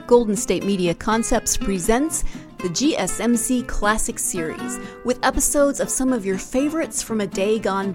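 A woman reading a scripted introduction as a podcast announcer. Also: mid-range voice.

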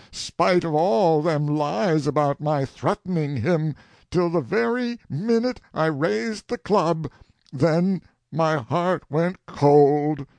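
A man's voice: high-pitched, no room sound. A man acting a raging old country man confessing. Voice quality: folksy rustic dialect. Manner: slow, forceful, impassioned.

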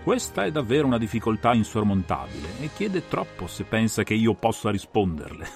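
A man's voice: lowish voice, wildly swooping pitch, fairly quick, creaky, a little mellow, faint music behind, full character voice. A man playing a composed, analytical detective who lays out a case with assured, methodical, theatrical phrasing in a dramatised audiobook.

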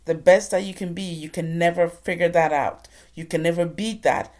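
A woman's voice: fairly low pitch, moderate pace, darkish tone. A woman from Ghana giving an inspirational talk, telling her own story in an earnest, preacher-like way. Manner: earnest, preacher-like addresses to the audience. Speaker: motivational speaker.

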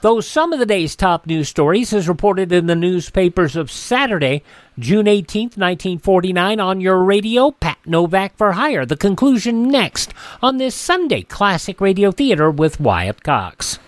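A man's voice: high voice, very animated, normal speed, no room sound. A man hosting a radio show, reading scripted news items and announcements.

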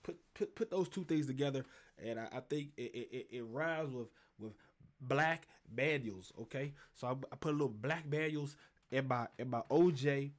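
A man's voice: mid-range voice, moderate pace. Casual male sports host, congested, rambling and profane.

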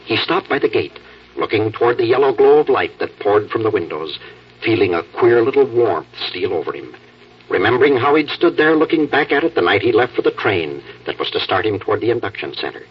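An American actor playing a fatherly night editor, telling stories in a reflective, halting, dramatic 1940s radio manner. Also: on the low side, very animated, breathy edge, full character voice. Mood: wistful, reflective. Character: fatherly newspaper night editor spinning wistful human-interest yarns. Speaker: storyteller. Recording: muffled, distant old radio broadcast.

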